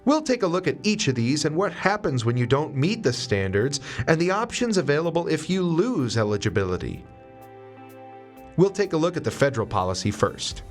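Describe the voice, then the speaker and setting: A man reading scripted explainer narration in a clear, instructional manner as a voice-over narrator. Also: fairly high pitch, sing-song, moderate pace. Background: music.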